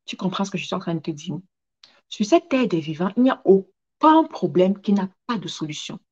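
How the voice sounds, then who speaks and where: A woman's voice: fairly low pitch, sing-song, a little fast, darkish tone, highs cut off. A woman giving a motivational talk in an emphatic manner, repeating phrases for effect and drawing on personal stories. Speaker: motivational speaker and businesswoman.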